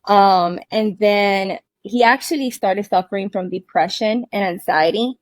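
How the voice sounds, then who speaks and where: A woman's voice: mid-range voice, measured pace, no room sound. Woman from cuba chatting as a guest, laughs a lot, mid voice, dry close sound. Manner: chatty, laughs often, restarts sentences. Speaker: guest, cabin rental owner. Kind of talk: casual conversational interview. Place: inside a cabin under renovation.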